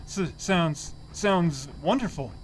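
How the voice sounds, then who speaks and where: An actor playing a flustered, cowardly older newspaper boss who stammers and blusters in an audio drama, on a noisy amateur recording. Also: male voice, a little high, animated, slow pace. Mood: flustered, frightened. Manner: stammering, spluttering.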